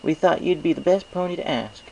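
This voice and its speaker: Storybook country mare, voiced by a man: caring, plainspoken, folksy, encouraging, raised pitch, faint noise behind. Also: animated, brisk, darkish tone, poor audio. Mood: matter-of-fact. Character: plainspoken, caring female country farm pony. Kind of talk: acted audiobook character dialogue.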